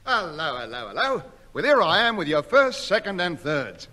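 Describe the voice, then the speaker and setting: An actor playing a cocky, crooked Cockney trader with chirpy slangy patter in a 1960s radio sitcom. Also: male voice, high-pitched, very animated, normal speed, pushed and bright, exaggerated voice. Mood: breezy.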